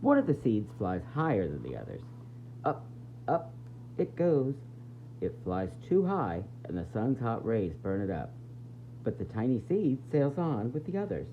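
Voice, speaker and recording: A man reading a picture book aloud as a preschool teacher, expressive and explaining things directly to young children. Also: mid-range voice, moderate pace. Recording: tinny phone-like audio with faint noise.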